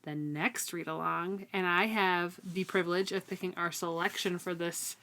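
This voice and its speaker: A woman's voice: lowish voice, steady tone, normal speed, pushed and bright. A young woman co-hosting a book podcast, chatting casually and enthusiastically. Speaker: podcast co-host. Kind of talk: casual book-club chat with some prepared write-ups read out.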